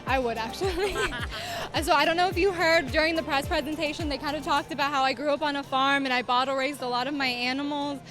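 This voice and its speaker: Young cuban-born woman w slight southern twang, highish voice, chatty answers, music going behind. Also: fairly even intonation, fast. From Cuba. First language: Spanish. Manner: upbeat, chatty, polite. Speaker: pageant contestant. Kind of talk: casual interview answers.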